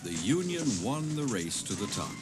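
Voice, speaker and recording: A man's voice: medium pitch, very animated, moderate pace, lightly acted. A man explaining a battle as a history tour commentator, in a conversational, dramatized style. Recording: noisy old cassette tape.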